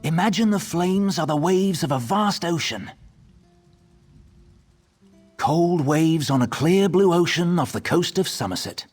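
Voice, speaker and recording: An actor voicing a whimsical, coy gatekeeper who tells stories theatrically in a fantasy game. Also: male voice, fairly high pitch, animated, unhurried, pauses often, a little mellow, husky, soft background music. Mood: hushed scene-setting. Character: whimsical, courteous-demanding male gatekeeper and storyteller. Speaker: storytelling gatekeeper.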